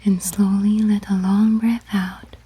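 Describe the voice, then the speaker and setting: A woman leads a sleep meditation in a very breathy, whispered voice. Her delivery is slow and even, with little rise or fall in pitch. She gives each gentle instruction in a measured way, in a soft ASMR style. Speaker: guided meditation narrator.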